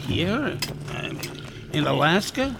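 A rough hired miner in a radio horror play. His gravelly, fairly high voice drawls slowly and uneasily, gruff and plain-spoken amid clearly noisy ambience. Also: big pitch swings, heavily acted. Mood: uneasy, baffled. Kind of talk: radio horror drama acting.

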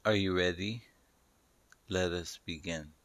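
A man narrating a guided meditation as a slow story, speaking deliberately in short, paused phrases and instructing the listener. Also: low voice, steady tone, fairly bright tone, no echo.

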